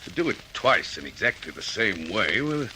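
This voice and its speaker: An actor playing a dogged, frank police detective in a radio drama, with measured, emphatic delivery. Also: male voice, lowish voice, very animated, pushed and bright, a little hiss or hum, heavily acted, rough recording. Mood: weary, baffled. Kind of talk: old-fashioned radio drama acting.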